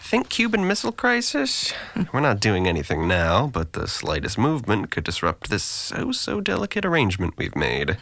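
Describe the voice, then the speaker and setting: A man's voice: on the low side, wildly swooping pitch, normal speed, vocal fry, fairly bright tone, no room sound, exaggerated voice. An actor playing a sarcastic, guarded, depressed young man with dry wit and an articulate, deflecting manner in an audio drama. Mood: sardonic, wry.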